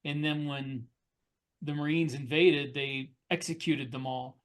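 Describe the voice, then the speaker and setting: Male podcast co-host and author, slightly higher register, conversational, thinks aloud with a halting delivery. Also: steady tone. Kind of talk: casual discussion of fiction writing.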